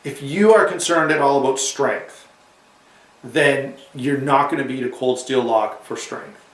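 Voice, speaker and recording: A man's voice: mid-range voice, a little slow, far from the mic. Chatty man with a cold, echoey room. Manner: chatty, rambling with restarts and asides. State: has a cold, slightly hoarse. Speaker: knife reviewer. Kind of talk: casual product review to camera.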